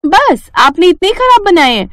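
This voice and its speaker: A woman's voice: extremely high pitch, big pitch swings, measured pace, a bit bright, no echo, clean studio sound, voice pushed up, heavily acted. Woman playing a pushy, greedy daughter-in-law. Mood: protesting. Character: greedy, pushy elder daughters-in-law, grown women with children. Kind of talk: animated moral story dialogue.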